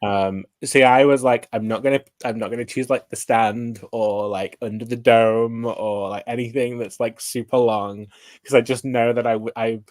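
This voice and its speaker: A man's voice: medium pitch, steady tone, moderate pace, dry recording. A man chatting casually about books as a livestream guest, meandering and hesitant, often saying "like". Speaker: guest co-streamer.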